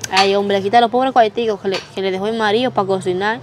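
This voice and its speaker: A woman's voice: medium pitch, fairly even intonation, fast talker, noisy background, close to an everyday voice. A woman playing a sighing, sympathetic friend. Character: sympathetic gossiping young female friend. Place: outdoors, rural yard by a hammock.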